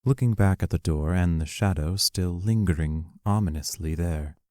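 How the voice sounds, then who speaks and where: A man's voice: sits low, moderate pace, mellow, no room sound, clean studio sound. A man reading stage directions as the scripted narration of an audio play.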